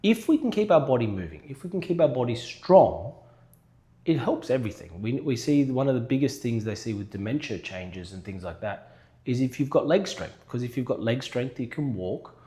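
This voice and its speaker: Man in lively podcast chat. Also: medium pitch. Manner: restless, quick to jump in with examples. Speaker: podcast co-host and manual therapy practitioner. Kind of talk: casual health chat.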